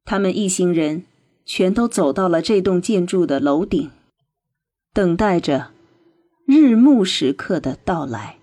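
A woman reading scripted narration for a radio drama in an expressive storytelling manner. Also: on the low side, a little slow.

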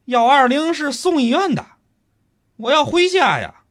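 Male actor as pushy stranded commuter: Tianjin accent, high lively voice, complaining and threatening, comic radio play. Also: unhurried, heavily acted. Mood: indignant, demanding. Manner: complaining, insistent, colloquial. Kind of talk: comic radio drama acting.

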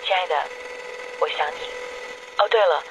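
A woman's voice: on the low side, moderate pace, close to an everyday voice. A woman playing a devoted, wistful young girlfriend leaving affectionate, confiding voicemails in a radio drama. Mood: wistful, longing. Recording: dull noisy answering-machine message.

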